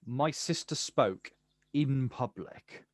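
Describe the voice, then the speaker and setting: An actor playing a bitter, protective older brother, confrontational and incredulous, in a naturalistic stage drama. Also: male voice, medium pitch, expressive, takes it slowly, no echo, clean studio sound. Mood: incredulous, accusing. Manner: confrontational, incredulous, clipped questions.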